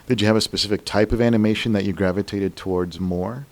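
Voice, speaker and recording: A man's voice: fairly low pitch, fairly even intonation, fast, darkish tone. A man co-hosting a show and interviewing a guest in a casual, conversational way.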